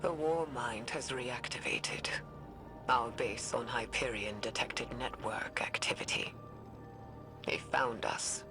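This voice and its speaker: An actress playing a weary, guilt-ridden old woman scientist recording a log in a frail, breathy voice for a video game. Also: rumbling low, fairly even intonation, heavily acted. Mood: grim, resolute. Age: older. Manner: slow, weary, solemn. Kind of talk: dramatic recorded log monologue. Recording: music behind the voice.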